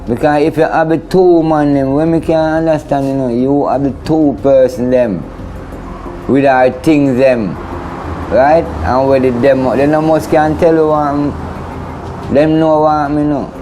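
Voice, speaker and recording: A man's voice: on the high side, fairly even intonation, a little slow, poor audio. A middle-aged man with a broad Jamaican Patois accent, speaking plainly and casually in an interview. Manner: plain-spoken, colloquial. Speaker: interviewee, father of a missing woman. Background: background music.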